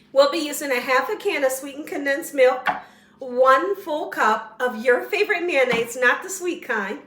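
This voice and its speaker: Home cook host, woman, high bright voice, slightly hoarse, chatty, echoey kitchen with the mic set back. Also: far from the mic. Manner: chatty, encouraging, talks straight to viewers. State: slightly hoarse, losing her voice. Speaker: cooking show host. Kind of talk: casual home cooking tutorial.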